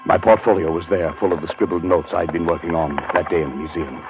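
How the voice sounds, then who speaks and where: A deep, grave haunted scholar. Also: male voice, steady tone, fast talker, music in the background. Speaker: narrator and lead character. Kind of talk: 1940s radio drama acting. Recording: tinny old radio broadcast.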